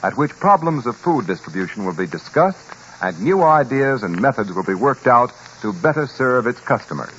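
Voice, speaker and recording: A male announcer reads scripted vintage radio copy with polished formality. His mid-pitched voice rises and falls in a very lively, emphatic way. The recording is dull, with a little background noise. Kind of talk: 1940s scripted sponsor announcement. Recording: muffled, faintly noisy vintage radio recording.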